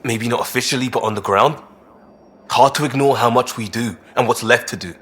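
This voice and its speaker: A man's voice: medium pitch, fairly bright tone, natural voice. Earnest, passionate young man, acted by a man. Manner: earnest, halting when worked up. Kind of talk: naturalistic video game voice acting.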